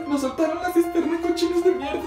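A man's voice: fairly even intonation, moderate pace, reverberant, over loud music. Camp young man touring his home. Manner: playful, camp and exaggerated, swinging into shrill put-on voices. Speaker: vlogger. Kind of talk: comedic house tour vlog. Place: small tiled bathroom and bedroom at home. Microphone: handheld phone camera.

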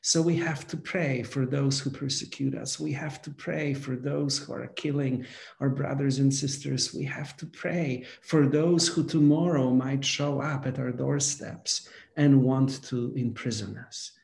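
A man in his forties from Poland, a guest telling his life story in a halting way, with many fillers. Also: medium pitch, steady tone, vocal fry, a little mellow, reverberant. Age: 40s. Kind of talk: reflective conversation.

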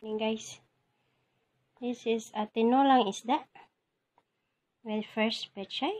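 Slow-talking Filipino-accented woman cooking. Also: on the high side, steady tone, a little mellow. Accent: Filipino English. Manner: slow, chatty, speaking to viewers as she cooks. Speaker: home cook and vlogger. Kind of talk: casual cooking narration. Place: home garden and kitchen.

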